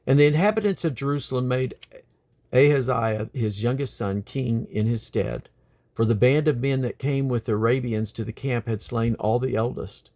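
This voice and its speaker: A man reading the Bible aloud in a creaky voice, delivered deliberately, phrase by phrase, with pauses. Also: mid-range voice, a little mellow. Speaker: Bible reader. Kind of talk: scripture reading aloud from the King James Bible.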